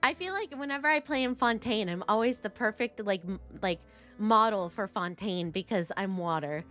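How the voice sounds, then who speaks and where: A woman's voice: medium pitch, normal speed, fairly bright tone, soft background music. A woman streaming a game with casual, chatty commentary in a playful, rambling manner.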